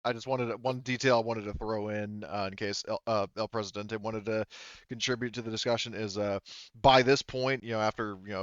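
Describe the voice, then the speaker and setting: A man's voice: fairly low pitch, fairly bright tone, clear, resonant tone, no echo, highs cut off. A man running a tabletop roleplaying game, explaining details to his players in a clarifying way. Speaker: game master.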